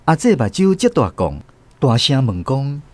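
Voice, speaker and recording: A man's voice: medium pitch, sing-song, normal speed, darkish tone, no room sound. A man with a Taiwanese accent narrating a scripted radio drama as a storyteller.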